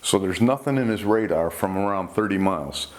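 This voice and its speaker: Low-pitched man telling stories informally. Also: lively intonation, brisk. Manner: conversational, relays dialogue in reported speech. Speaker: host recounting UFO reports. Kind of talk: informal storytelling, retelling recorded incidents.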